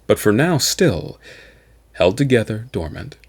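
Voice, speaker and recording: Guy reading sci-fi audiobook, dramatic n deliberate, kinda low voice, pitch all over the place. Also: big pitch swings, breathy edge. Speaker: amateur audiobook narrator. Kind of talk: dramatic scripted narration of science fiction.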